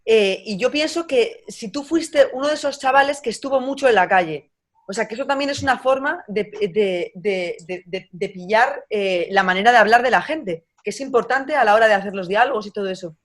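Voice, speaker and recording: A woman coordinating a book club discussion over a video call. She talks haltingly, repeating small words while she forms her thought. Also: a little high. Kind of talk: casual moderating.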